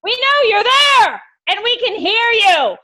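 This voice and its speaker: A woman playing a stern sheriff's officer in a video-call comedy, calling out loud, drawn-out commands. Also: extremely high pitch, big pitch swings, full character voice. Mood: commanding. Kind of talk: comedic stage acting over video call.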